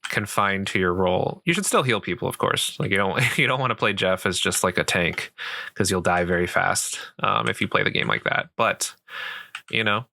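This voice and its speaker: A sweet, patient boyfriend played by a man walks a beginner through a game in a casual roleplay. His voice is low and crisply bright, recorded close and dry. He rambles gently and keeps things reassuring. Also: normal speed, dry recording, heavily acted. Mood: patient, explaining. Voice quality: soft, creaky voice. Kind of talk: roleplay ASMR, casual improvised game tutorial.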